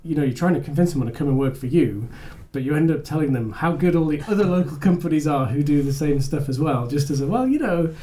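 A man's voice: on the high side, animated, fast, few pauses, dark tone. A man hosting a podcast interview who talks in a loose, rambling way, often restarting his sentences. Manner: rambling, self-correcting, with light laughs. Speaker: podcast host. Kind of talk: conversational interview chat.

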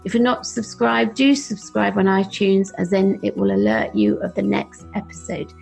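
A woman's voice: medium pitch, animated, moderate pace, vocal fry, darkish tone, faint music behind, poor audio. A woman hosting a podcast, giving upbeat coaching advice. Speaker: podcast host.

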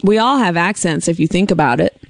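A man hosting a casual chat, teasing his guest with dry, deadpan jokes. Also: sits high, a little fast, creaky, no echo. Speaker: host.

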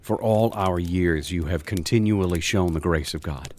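A man's voice: low voice, moderate pace, a little mellow, a little hiss or hum, close to an everyday voice. A man voicing a humble army commander who speaks in a reverent, subdued way. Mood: grateful.

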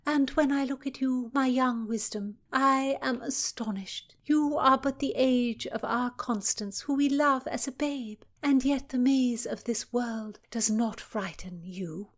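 A woman's voice: sits high, unhurried, few pauses, voice pushed up. A woman voicing a gracious, courtly noblewoman. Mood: admiring. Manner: courtly, flattering. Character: gracious high-born Tudor noblewoman, courtly.

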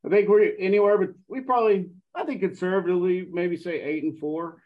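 A man's voice: high voice, fairly even intonation, fairly quick, narrow, tinny audio. A man speaking as a guest on a sports podcast, rambling with many fillers. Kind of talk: casual sports chat.

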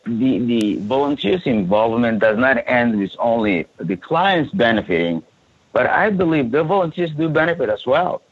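A man from Sudan talks earnestly in a podcast interview, and his voice has a husky, breathy grain. He often stumbles and repeats a word before he goes on. The recording sounds dull and lo-fi. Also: medium pitch. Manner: earnest, repeats words when restarting. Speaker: refugee support services coordinator.

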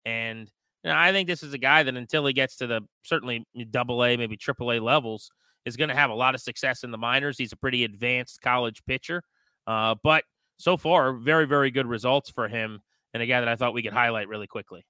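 A man co-hosts a sports show with conversational commentary. His voice is bright and crisp at a medium pitch, picked up dry on a close microphone. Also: a little fast, no echo.

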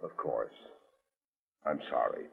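Very deep-voiced polite stalker. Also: male voice, creaky, slightly rough, heavily acted. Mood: falsely apologetic. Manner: halting, overly polite, apologetic. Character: sinister male stalker feigning polite, apologetic stranger. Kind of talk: 1940s radio mystery drama acting. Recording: muffled, tinny 1940s radio recording.

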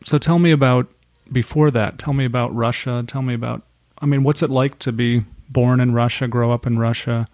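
A man hosting a podcast who introduces his guest and asks relaxed, curious questions. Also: mid-range voice, fairly quick, few pauses, no room sound. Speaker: podcast host. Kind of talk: podcast interview.

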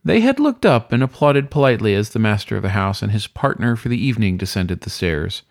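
A man narrates fiction in an expressive, scripted audiobook style. His slightly low voice moves widely in pitch, and the recording is close and dry. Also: brisk, vocal fry, no room sound. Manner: expressive, storytelling. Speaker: story reader.

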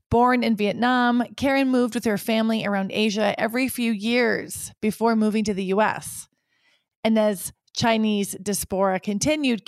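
A woman's voice: medium pitch, no room sound, clean studio sound. A woman hosting a podcast interview, upbeat and laughing easily as she introduces her guest and asks questions. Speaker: podcast host.

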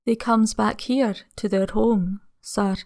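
A man's voice: sits high, steady tone, vocal fry, voice pushed up, full character voice. A man voicing an old housekeeper woman. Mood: ominous. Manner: slow, hushed, ominous. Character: old country housekeeper woman, placid, superstitious.

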